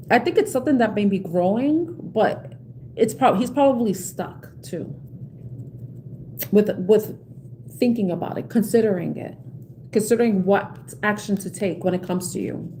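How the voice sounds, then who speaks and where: A middle-aged woman with a New York accent gives a live tarot reading, talking to viewers in a chatty, reassuring way with many fillers and restarts. Her voice is mellow and soft-edged. Faint noise sits behind her voice. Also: medium pitch, measured pace, long pauses, rough recording. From South Bronx, New York. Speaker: tarot reader.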